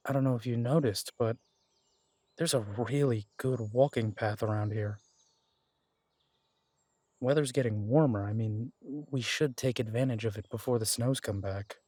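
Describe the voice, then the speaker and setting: A man voicing a protective male werewolf detective in an audio drama, speaking in a gentle, reassuring, unhurried way. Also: mid-range voice, dry recording, lightly acted. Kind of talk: romantic audio drama roleplay.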